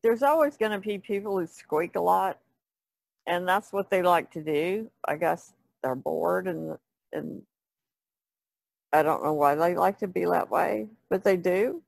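Female podcast panelist, lowish voice with plenty of fry, casual conversational style. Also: unhurried, no room sound.

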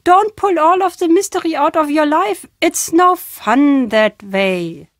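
An actress playing a very old, playful, wistful woman storyteller in a video game, speaking theatrically in unhurried phrases with dramatic pauses. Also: high voice, expressive, no room sound, studio quality. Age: older. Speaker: game character.

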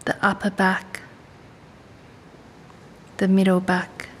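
Aussie woman doing guided meditation, calm n unhurried, long pauses, flat even tone, slight rumbly noise. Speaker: meditation and yoga teacher. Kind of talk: guided body scan meditation. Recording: faint low rumbling background noise.

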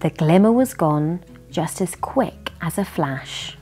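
Expressive British woman reading a children's story. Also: lowish voice, takes it slowly, breathy edge, soft background music. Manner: animated, addressing young listeners. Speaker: children's story reader and host. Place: furnished living room by a fireplace.

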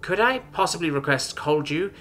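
A man's voice: on the high side, expressive, normal speed, a bit bright, slight background noise, pitched above the performer's own voice. A man voicing an eager, courteous big-eyed female alien with a polite, delighted manner in a story. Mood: excited, polite.

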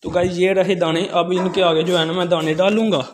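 A young man with a fairly high voice chats his way through farm chores outdoors, talking straight to his viewers. He leans on the same filler phrases again and again. The sound comes through a phone held at arm's length. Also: flat delivery, brisk, heavy background noise. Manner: chatty, repeats filler phrases, addresses viewers as guys. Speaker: village life vlogger. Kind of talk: casual walkthrough narration. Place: outdoor village courtyard with poultry.

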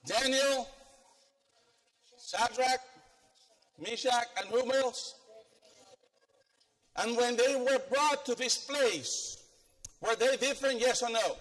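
A middle-aged man from the Philippines with a Filipino accent, preaching an animated sermon to a congregation and questioning them as he walks the stage. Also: extremely high pitch. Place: church sanctuary, indoors, with congregation. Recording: long church reverb.

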